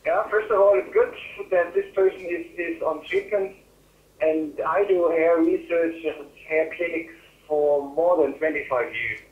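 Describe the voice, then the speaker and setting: A middle-aged man with a German accent answering medical questions as a doctor, in a halting way that repeats and rephrases words, over a phone line. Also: fairly high pitch. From Germany or German-speaking Europe. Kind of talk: medical Q&A on a webinar. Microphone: phone call. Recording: roomy, band-limited phone line.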